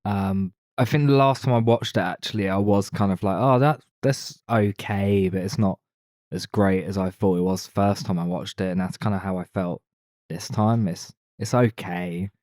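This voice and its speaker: A man gives his opinions as a podcast co-host. His voice is a bit low and his talk is casual and conversational. The sound is very dry, without room echo. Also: moderate pace, darkish tone. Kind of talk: casual film discussion.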